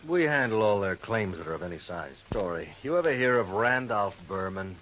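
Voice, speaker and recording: A man's voice: fairly low pitch, animated, fairly quick, highs cut off, close to an everyday voice. An American actor playing a worried, businesslike male insurance manager, confiding and explaining in a 1950s radio drama on a noisy old recording. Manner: conversational, hesitant asides, confiding explanations.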